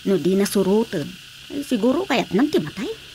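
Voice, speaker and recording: A woman's voice: medium pitch, big pitch swings, normal speed, darkish tone, close to an everyday voice. A woman with an Ilocano accent playing an exasperated, grumbling village wife in a radio drama comedy. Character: exasperated wife of a stubborn, ailing village councilor. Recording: faintly noisy radio drama track.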